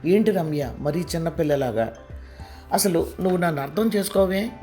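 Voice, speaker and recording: A man's voice: a little high, moderate pace, a little mellow, faint music behind, lightly acted. A man doing a hurt, pleading young husband. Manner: earnest, hurt.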